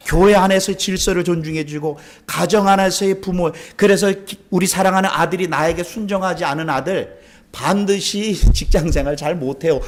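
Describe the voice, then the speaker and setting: A male pastor from South Korea delivers a sermon in a fairly high voice. He talks conversationally, asks the congregation questions, and repeats key phrases for emphasis. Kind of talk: sermon with rhetorical questions and anecdotes.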